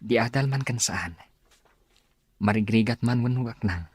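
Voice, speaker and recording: A man's voice: medium pitch, a little slow, a little mellow, breathy edge, no echo, faint music behind. A man playing a kind, sincere suitor in a radio drama. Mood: earnest, tender. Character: kind, earnest male suitor, generous and sincere.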